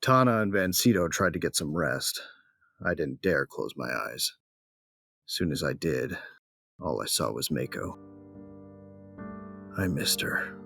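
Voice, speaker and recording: A man's voice: a bass voice, gravelly, sharp tone, husky, no room sound, full character voice. A man playing a grieving, embittered bounty hunter who narrates his own story in an audio drama, brooding and terse. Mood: grim, wry, then anguished.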